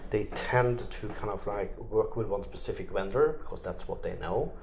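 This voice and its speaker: A man's voice: fairly low pitch, normal speed, gravelly, background noise. A middle-aged man from Norway with a Norwegian accent, answering interview questions in loose, filler-heavy phrasing. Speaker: interviewee, tech executive.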